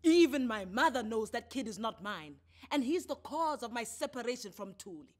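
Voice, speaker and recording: A woman's voice: fairly high pitch, expressive, normal speed. A woman with a South African accent voicing an aggrieved, insistent husband in a storybook reading. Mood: defiant. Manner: emphatic, insistent. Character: indignant, aggrieved husband in his cups.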